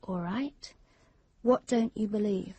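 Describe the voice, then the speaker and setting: An actress in a radio drama playing an intense, obsessive young woman lover, earnest and solemn, with a soft breathy voice. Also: mid-range voice, slow, no room sound. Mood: cold, insistent.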